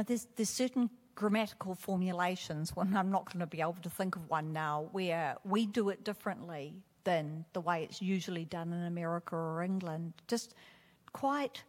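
A woman with a New Zealand accent chats in an interview about her writing. She rambles warmly, laughs easily and now and then loses her thought mid-sentence. Her voice carries a long reverb, as if she were in a large room. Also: on the low side. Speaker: author guest. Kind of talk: casual interview conversation.

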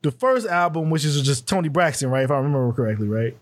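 A man co-hosting a casual music podcast, animated and laughing often as he acts out quotes. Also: fairly high pitch, fast. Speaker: podcast co-host. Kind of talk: casual chat.

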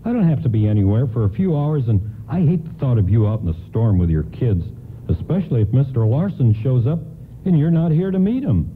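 A man's voice: medium pitch, animated, brisk, close to an everyday voice. A man acting a kind, folksy department store doorman in a radio play, earnest and reassuring. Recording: lo-fi, faintly noisy radio broadcast.

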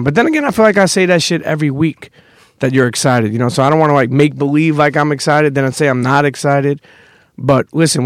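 A man's voice: mid-range voice, no echo, studio quality. A man from Brooklyn with a New York accent, hosting a podcast in a loose, slangy, swearing manner and reading ads. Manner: loose, street slang, swears, repeats phrases. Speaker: podcast host. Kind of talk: casual podcast chat and ad reads.

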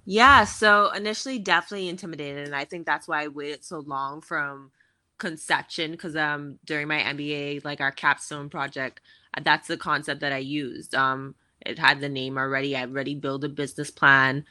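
A woman's voice: deep voice, fairly even intonation, moderate pace, bright, forceful tone, no echo. A woman in her thirties from the Bahamas, chatting as an interview guest in an upbeat, chatty way with frequent fillers. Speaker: guest, fashion tech founder. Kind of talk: casual interview conversation.

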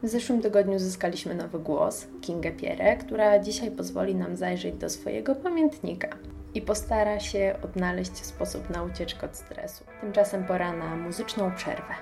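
A woman's voice: on the low side, moderate pace, creaky, over music. A woman, hosting a radio programme and introducing it from a prepared script. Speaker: radio host.

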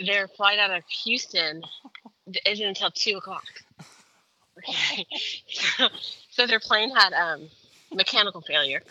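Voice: medium pitch, measured pace, long pauses, pushed and bright, lo-fi sound. A caller giving a family update in casual, chatty, matter-of-fact storytelling. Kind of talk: casual phone chat.

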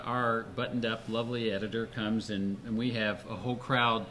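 Animated male storyteller. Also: lowish voice, fairly quick. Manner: animated storyteller, laughs often, acts out others' lines. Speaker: editor-in-chief and host. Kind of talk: casual podcast chat.

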